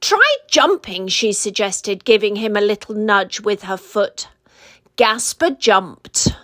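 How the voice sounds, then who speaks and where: A woman's voice: medium pitch, fairly even intonation, takes it slowly, fairly bright tone, dry recording, studio quality. A woman narrating a scripted story for young children as a storyteller.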